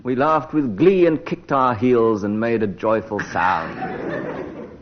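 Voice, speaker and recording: A man performing as a radio comedy panellist, delivering verse and banter with a deadpan, joking manner. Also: medium pitch, takes it slowly. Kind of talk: comic verse and banter before an audience. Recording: muffled, very noisy vintage radio recording.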